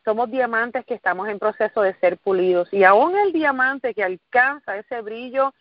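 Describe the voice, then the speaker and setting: A woman's voice: a little high, moderate pace, dry recording, lo-fi sound. A middle-aged woman from Puerto Rico with a Puerto Rican accent, giving an earnest motivational talk and repeating phrases for emphasis. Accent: Puerto Rican Spanish. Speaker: motivational speaker. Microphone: recorded call or conference line.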